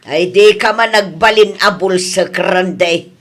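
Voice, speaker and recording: A woman's voice: medium pitch, takes it slowly. A woman with a Filipino accent playing a down-to-earth mother who questions her grown son in a radio comedy-drama. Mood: probing.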